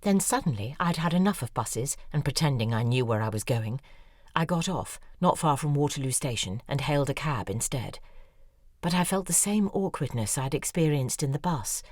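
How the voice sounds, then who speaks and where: An actress playing a sharp-tongued woman novelist telling her past in a wry, self-mocking way. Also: low voice, no room sound, studio quality. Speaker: lead character in audio drama. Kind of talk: dramatic monologue, audio drama acting.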